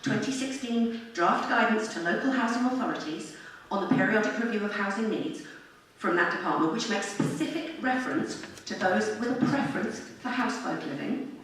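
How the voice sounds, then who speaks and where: A woman's voice: mid-range voice, lively intonation, normal speed, a bit bright. A middle-aged woman reads a prepared public address in an earnest, formal, articulate way. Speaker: member of the public addressing a council. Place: council meeting chamber. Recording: very echoey room, distant mic, faint noise.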